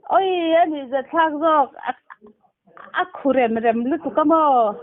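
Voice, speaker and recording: A woman's voice: high-pitched, normal speed. A woman chatting casually on a phone call, heard over a tinny, narrow telephone line. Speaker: phone caller.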